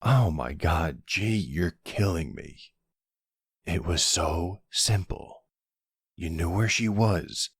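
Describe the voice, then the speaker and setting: Man acting as a deep-voiced, exasperated mafia boss. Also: animated, slow pace, dry recording, studio quality, exaggerated voice. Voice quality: deep, smooth, gravelly creak. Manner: wry, colloquial, weary. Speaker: voice actor in an audio roleplay. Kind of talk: ASMR roleplay acting. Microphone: close, dry microphone.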